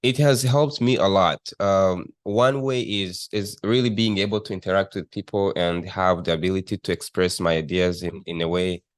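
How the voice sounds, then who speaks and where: Deep-voiced young man from Rwanda. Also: steady tone, no room sound, clean studio sound. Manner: thoughtful, halting, with frequent restarts and fillers. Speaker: guest, student entrepreneur. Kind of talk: conversational interview.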